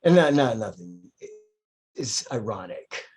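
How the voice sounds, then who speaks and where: A man playing a concerned, polite priest in a screenplay table read, husky, hesitant and earnest. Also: on the low side, big pitch swings, unhurried, darkish tone, full character voice. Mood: awkward.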